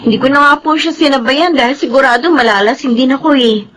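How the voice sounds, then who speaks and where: A woman's voice: high-pitched, quick pace, a bit bright, a little hiss or hum. A woman acting a polite, dutiful young woman in a radio drama. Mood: polite, matter-of-fact.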